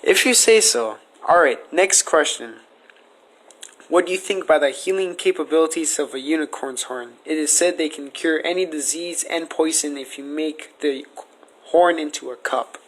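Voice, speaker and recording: Casual man interviewer, slightly high voice. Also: moderate pace, a bit bright, poor audio. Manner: informal, conversational. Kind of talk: casual question-and-answer interview.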